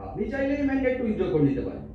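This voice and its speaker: A firm, formal hospital official, acted by a man on stage. The voice is high with lively swings. It sounds distant in a reverberant hall. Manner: formal, measured, mixes in English. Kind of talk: staged Bengali audio drama acting. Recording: echoey hall, distant mic, noisy, dull.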